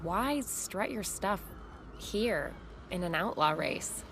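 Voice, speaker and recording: A woman's voice: lowish voice, animated, takes it slowly, vocal fry, heavily acted. An actress playing a tough, no-nonsense woman of the street-racing scene in a video game, blunt and streetwise, over vehicle noise. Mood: blunt, probing. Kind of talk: video game cutscene dialogue. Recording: vehicle and ambient noise behind.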